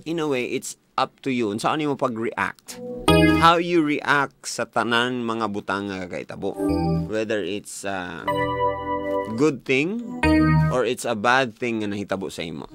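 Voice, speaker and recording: Filipino male radio host over loud music. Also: mid-range voice, expressive, a little slow. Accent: Filipino, Cebuano. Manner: emphatic, conversational, repeats words for stress. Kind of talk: live radio advice talk, switching between Cebuano and English. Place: small radio studio. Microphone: close studio boom mic, headphones. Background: loud instrumental music with guitar and keyboard.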